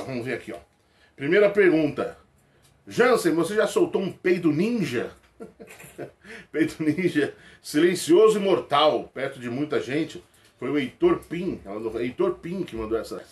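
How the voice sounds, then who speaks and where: A man's voice: a little high, moderate pace. Jokey laughing comedian man, close webcam. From Rio de Janeiro, Brazil. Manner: animated, jokey, laughs often, slangy. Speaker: comedian answering viewer questions. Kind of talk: casual chat to camera. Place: small indoor room with toy shelves. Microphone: webcam close to face.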